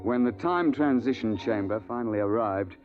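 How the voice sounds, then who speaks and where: A seasoned big-game guide, clipped and dryly amused even when threatened, as an actor plays him. His voice sits in a middle register. The old broadcast sound is dull, with loud music beneath. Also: mid-range voice, moderate pace, lightly acted. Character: seasoned male time-travel big-game hunting guide, dry and unflappable. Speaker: narrator and lead character. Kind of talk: 1950s radio drama acting and narration.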